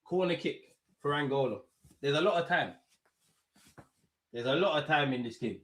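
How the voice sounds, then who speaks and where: A young man with a London accent hosting a football watch-along, chatting casually and excitably in slang with his viewers. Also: a little high, normal speed. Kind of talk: casual live reaction commentary and chat with viewers.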